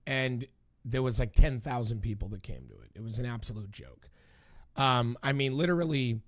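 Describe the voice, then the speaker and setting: A man with a hoarse voice hosting a casual political commentary video, talking in an indignant, emphatic and repetitive way. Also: medium pitch. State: hoarse from singing.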